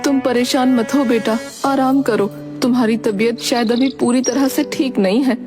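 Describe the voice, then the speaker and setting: A woman's voice: a little high, fairly even intonation, normal speed, over music, voice pushed up, close to an everyday voice. A woman voicing a concerned woman of an Indian family in a cartoon story, with an earnest delivery. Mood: soothing.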